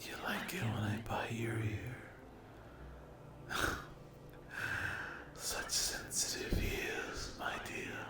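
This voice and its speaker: A performer plays a mean sleep demon who whispers very slowly and intimately. The demon is possessive and taunting, in a breathy ASMR roleplay style. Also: mid-range voice, expressive, full character voice.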